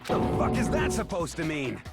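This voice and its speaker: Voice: medium pitch, sing-song, normal speed, vocal fry, over loud music. A performer voicing a hot-headed, foul-mouthed young male delinquent in a fan-dubbed game, with aggressive outbursts. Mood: angry.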